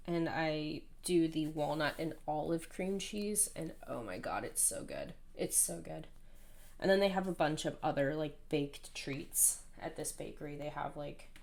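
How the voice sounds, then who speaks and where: Woman, 30s, hoarse, low-pitched, rambling casual chat, self-deprecating. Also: a little mellow. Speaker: planner vlogger. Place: at home, indoors.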